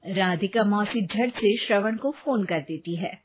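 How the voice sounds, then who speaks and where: Female storyteller: medium pitch, unhurried scripted narration, narrow phone-like audio with faint noise.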